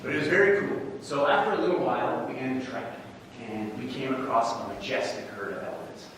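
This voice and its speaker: A man giving a humorous storytelling speech at a contest, with an animated, theatrical manner. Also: medium pitch, quick pace. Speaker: contest speaker. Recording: echoey hall, distant mic, background noise.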